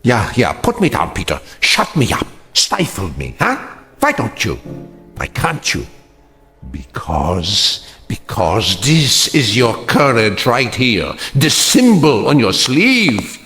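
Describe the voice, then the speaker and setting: An actor playing a bitter, scornful old man who mocks a young agitator, his delivery slow and swinging widely in pitch. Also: wildly swooping pitch, pushed and bright, soft background music, exaggerated voice. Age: older. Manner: scornful, cutting, mocking.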